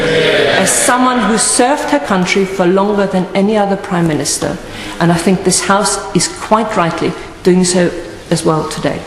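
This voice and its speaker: A woman giving an earnest, measured parliamentary tribute speech. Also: on the low side, slight background noise. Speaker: member of parliament. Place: large debating chamber. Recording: echoey hall, distant mic.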